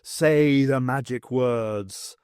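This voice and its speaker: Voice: mid-range voice, very slow, darkish tone, smooth, no room sound, clean studio sound. A reader doing a fussy, puffing male sidekick. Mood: urgent. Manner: puffing, urgent.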